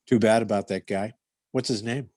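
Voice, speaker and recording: A man playing a deadpan, clipped police detective narrating his case in a 1950s radio parody style. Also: fairly low pitch, moderate pace, no echo, studio quality, natural voice.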